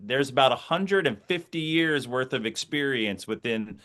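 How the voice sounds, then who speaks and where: A man's voice: medium pitch, animated, normal speed, a bit bright. A middle-aged American man, a sports announcer, speaking conversationally as an interview guest and reflecting through anecdotes.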